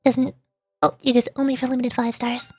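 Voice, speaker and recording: A woman hosting a casual gaming livestream, chatting in an animated, playfully whiny way. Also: sits high, normal speed, husky. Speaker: VTuber livestream host.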